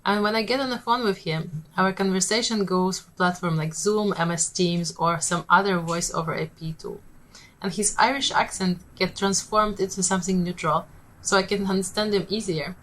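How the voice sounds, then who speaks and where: A woman with a Polish accent, a startup founder giving a rehearsed pitch in a measured, steady way. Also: low-quality recording.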